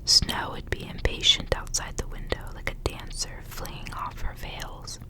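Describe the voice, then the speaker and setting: A woman whispering in a breathy, unvoiced voice, reading stories aloud for ASMR in a casual, unhurried, informal way.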